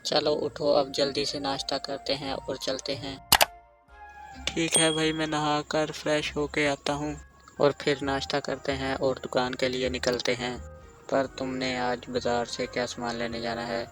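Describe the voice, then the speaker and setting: Earnest young sweet-shop brother for a cartoon tale, voiced by a man, plain explanatory delivery, average pitch. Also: level tone, a little slow, close to an everyday voice. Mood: practical, brisk. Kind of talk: scripted cartoon dialogue. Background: music.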